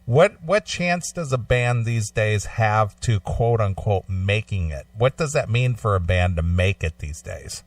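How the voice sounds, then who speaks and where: Lowish-voiced man hosting radio. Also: lively intonation, unhurried, hardly any pauses, no room sound, far from the mic. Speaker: radio show host. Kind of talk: conversational radio interview.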